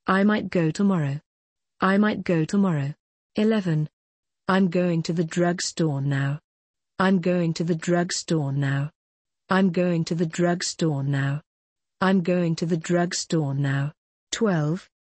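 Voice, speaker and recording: A woman's voice: on the low side, measured pace, a little mellow, no room sound. A woman teaching English phrases in a drill, speaking carefully and repeating each phrase several times. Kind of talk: language drill narration.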